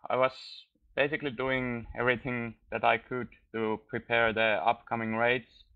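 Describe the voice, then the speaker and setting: Finnish male gamer guest, slow, flat, gravelly, tinny voice-chat line. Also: medium pitch. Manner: hesitant, halting with many fillers. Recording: phone-like voice chat.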